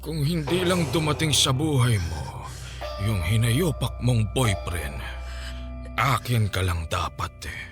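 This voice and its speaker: An actor playing a menacing, lecherous middle-aged landlord obsessed with a younger tenant, in an overwrought radio drama style. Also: male voice, medium pitch, big pitch swings, takes it slowly, music in the background. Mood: menacing, lustful. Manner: leering, threatening, overwrought.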